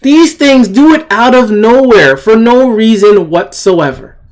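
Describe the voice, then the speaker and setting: This is an opinionated video commentator speaking off the cuff at a slow pace. The delivery is halting and emphatic, often circling back to repeat a point. The tone is blunt, indignant and disdainful. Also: mid-range voice, expressive.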